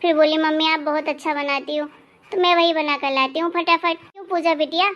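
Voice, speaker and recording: A woman voicing a kindly older mother in an animated family story, patient and soothing as she explains things. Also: high voice, fairly quick, dull audio. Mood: affectionate.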